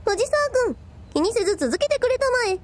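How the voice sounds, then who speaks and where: A woman's voice: very high-pitched, big pitch swings, a little fast. A woman playing a quirky young woman in a radio drama, talking with playful, quaintly pompous airs. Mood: curious.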